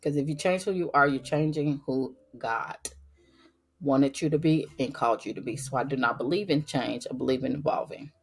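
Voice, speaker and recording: Low, raspy woman hosting a podcast. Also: normal speed, slight background noise. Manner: earnest, emphatic, talks straight to listeners. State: raspy from a cold. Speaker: podcast host. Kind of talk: casual faith-based podcast monologue.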